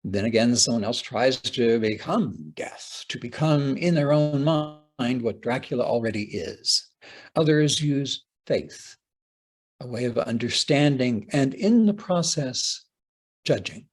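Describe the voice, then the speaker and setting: Husky, slow-paced man lecturing theatrically. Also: medium pitch, animated. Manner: theatrical, deliberate, with dramatic pauses. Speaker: playwright giving a talk. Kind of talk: prepared conference lecture, read aloud with dramatic phrasing.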